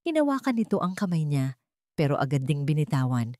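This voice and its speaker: A woman's voice: sits low, big pitch swings, brisk, darkish tone, no echo, studio quality. A woman with a Filipino accent telling a story in dramatic scripted narration.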